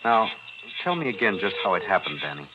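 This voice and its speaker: An actor playing a calm, shrewd attorney with a measured, authoritative manner in a 1940s radio thriller. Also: male voice, low-pitched, background noise. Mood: calm, probing. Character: calm, shrewd male attorney investigating a murder. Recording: dull 1940s radio recording.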